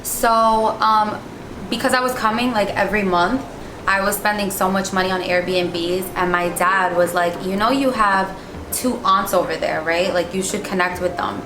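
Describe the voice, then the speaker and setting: A woman's voice: mid-range voice, steady tone, normal speed, background noise. A young woman from New York and New Jersey in the United States, telling her experience casually as an interview guest. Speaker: interview guest, business owner. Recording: echoey room with music behind.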